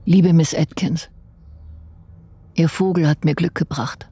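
A woman's voice: sits low, steady tone, measured pace, dark tone. An actress playing a sober female investigator recounting events in a radio drama. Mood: sober, reflective. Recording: clear background noise and ambience.

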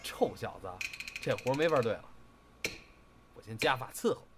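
A man's voice: mid-range voice, expressive, normal speed, close to an everyday voice. A man playing an exasperated, bossy senior crosstalk partner in an audio drama, brusque and scolding. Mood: stern scolding. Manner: scolding, brusque, theatrical when reciting. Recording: faint background noise.

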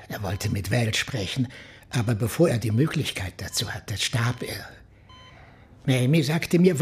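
Very breathy, docile confessing preacher. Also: male voice, medium pitch, full character voice. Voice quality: hoarse, breathy. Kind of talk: German radio crime drama acting.

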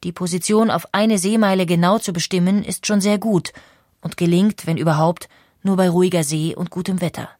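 A woman reading scripted documentary narration in an explanatory, measured way. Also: lowish voice, fairly even intonation, darkish tone, no room sound, studio quality.

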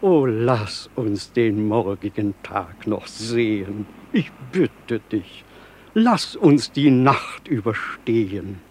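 An actor in a radio play playing a gentle, devout village reverend who recites verse prayers reverently. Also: male voice, lowish voice, lively intonation, slow, a little hiss or hum. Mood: reverent, tender.

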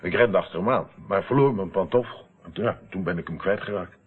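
Lage, hese bange getuige. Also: male voice, sits low, fairly quick, husky. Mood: uneasy, recounting. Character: intimidated male murder witness, hesitant and submissive. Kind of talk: radio play acting. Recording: muffled narrowband old radio play recording.

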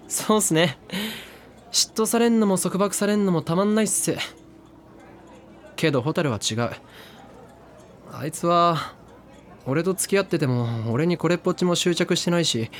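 A man's voice: high voice, expressive, moderate pace, pauses often. An actor in a drama CD playing a reckless, thrill-seeking young man who talks in slangy, clipped casual speech. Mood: candid. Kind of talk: drama CD acting. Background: background music.

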